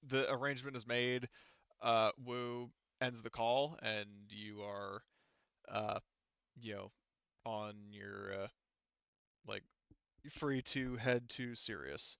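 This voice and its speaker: Man, game master, crisp bright voice, halting informal narration, very dry slightly muffled recording. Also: medium pitch, fairly even intonation, dry recording. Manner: halting, informal, thinking aloud. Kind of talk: improvised tabletop game narration.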